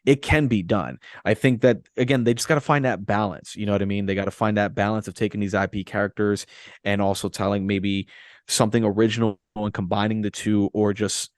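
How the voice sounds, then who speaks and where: A man's voice: fairly low pitch, fairly even intonation, fairly quick, dry recording, clean studio sound. A man chatting casually about movies as a podcast co-host, earnest and repeating phrases for emphasis.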